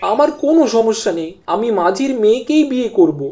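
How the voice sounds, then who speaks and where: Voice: mid-range voice, very animated, brisk. A performer playing a bold, determined young man in a horror cartoon, speaking insistently and earnestly. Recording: loud music over the voice.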